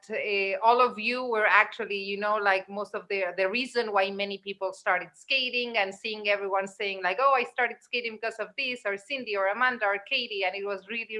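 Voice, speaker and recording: A woman's voice: medium pitch, bright, forceful tone. A woman hosting a casual live video chat, giggling often and filling her talk with "you know, like". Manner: giggly, many fillers. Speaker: host.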